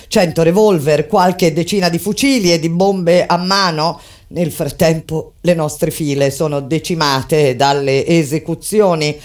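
A woman acting as a survivor who recounts grim wartime testimony in a dramatic, deliberate stage monologue. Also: deep voice. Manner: grave, deliberate, pausing between short phrases. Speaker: stage actress. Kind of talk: dramatic theatrical monologue over live music. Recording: loud plucked-string music under the voice. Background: guitar and plucked-string music.

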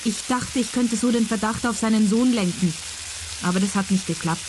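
A woman's voice: fairly high pitch, moderate pace, natural voice. An actress playing a young female student in a radio play, confessing plainly and with resignation. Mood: frank. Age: 20s. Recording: clear sizzling background noise.

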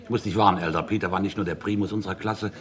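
An actor in a German radio drama playing a hearty, self-important and prickly space captain. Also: male voice, sits low, fairly even intonation, racing, natural voice. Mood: jovial, teasing. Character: boastful, prickly space captain, admired old schoolmate.

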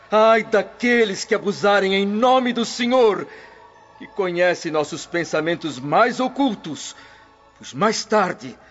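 High-voiced, solemn prophetic preacher. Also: male voice, fairly bright tone. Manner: oratorical, grave, declamatory. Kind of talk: sermon in radio drama. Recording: dull lo-fi radio drama, music behind.

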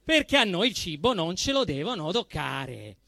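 A man with a Roman accent performing a satirical monologue on stage, emphatic and swinging between deadpan restraint and outrage. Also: high voice, moderate pace, vocal fry, no room sound, clean studio sound. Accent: Roman Italian. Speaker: actor performing a comic monologue. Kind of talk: theatrical satirical monologue to a live audience.

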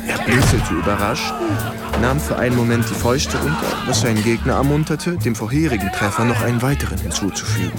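Ein Schauspieler spielt in einem Hörspiel einen jungen amerikanischen Leutnant, der in der Ich-Form anschaulich und aufmerksam vom Krieg erzählt. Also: male voice, medium pitch, natural voice. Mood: tense, vivid. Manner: measured, vivid storytelling. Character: observant young American lieutenant recalling the war. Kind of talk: first-person radio play narration. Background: music.

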